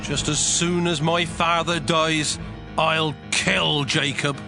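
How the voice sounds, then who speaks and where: An actor playing a hot-tempered, wronged firstborn son, emotional and pleading, in a dramatized scripture story. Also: male voice, on the high side, flat delivery, slow pace, creaky, over music. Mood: bitter. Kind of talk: dramatized scripture reading with music.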